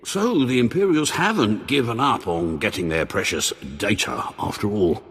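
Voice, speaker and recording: An actor playing an anxious former slave posing as a grandiose Sith lord in a video game, husky, theatrical and faltering. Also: male voice, fairly low pitch, lively intonation, normal speed, fairly bright tone, full character voice. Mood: anxious, scheming. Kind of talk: video game cutscene acting. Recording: long hall reverb.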